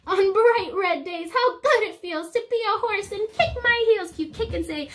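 A young woman reading a picture book aloud to small children, expressive and sing-song, explaining things simply as a teacher would. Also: extremely high pitch, normal speed, a little hiss or hum. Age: young adult. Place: small indoor room.

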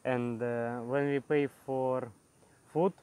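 A young man with a Russian accent chatting casually outdoors, halting and searching for words. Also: mid-range voice, steady tone, warm tone, clear, resonant tone. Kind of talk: casual vlog chat. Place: outdoor hilltop bench.

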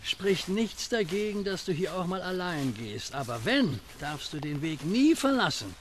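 An actor playing a friendly, knowing old country neighbour in a radio play, gravelly and colloquial. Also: male voice, sits high, big pitch swings, measured pace, a little hiss or hum. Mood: friendly, instructive. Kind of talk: German radio play acting.

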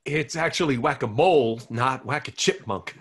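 A man's voice: medium pitch, normal speed, a little hiss or hum, lightly acted. A man reading a meek, goofy boyfriend in a comedy table read. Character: timid goofy man on a date.

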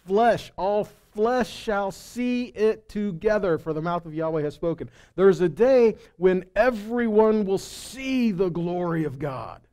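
A male preacher in his forties with a high, soft-edged voice and a mellow tone. He delivers a sermon conversationally, mixing homespun storytelling with scripture, and leans on rhetorical questions for emphasis. He speaks into a headset mic on an indoor stage. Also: animated, slow, few pauses. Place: indoors on a stage at a congregation hall. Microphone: headset microphone.

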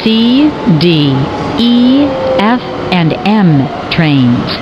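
A woman giving recorded train announcements in a measured, formal way. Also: medium pitch, sing-song. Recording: dull, noisy recorded transit announcement.

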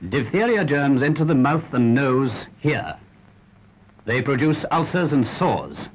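A man narrates a 1940s public health film with grave urgency. His voice is husky and his delivery emphatic, in a middling register. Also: mid-range voice, very animated, slow pace, poor audio.

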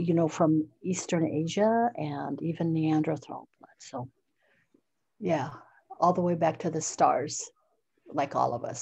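An older woman from the United States speaking slowly and haltingly, introducing herself on a video call. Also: sits low, creaky, darkish tone, husky, no room sound. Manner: slow, halting, reflective. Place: indoor room.

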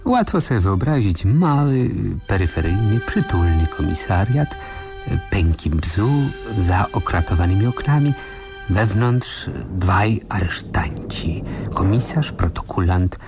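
A man acting as the wry, measured narrator of a radio mystery, telling the tale in a deliberate, dramatic way. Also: low-pitched, animated, over music. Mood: wry, atmospheric. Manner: deliberate, storytelling.

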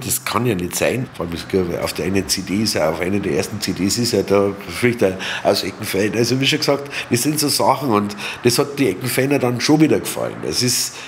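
A man's voice: on the low side, a little hiss or hum. A man speaking spontaneously and emphatically in an interview, often repeating himself. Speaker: local interviewee.

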